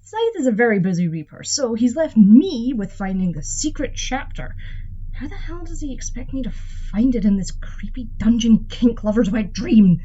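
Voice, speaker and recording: A woman hosting an audio roleplay in a theatrical, in-character way, cheeky, sweary and expressive. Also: on the high side, normal speed, highs cut off, a little hiss or hum. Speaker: voice actor and channel host.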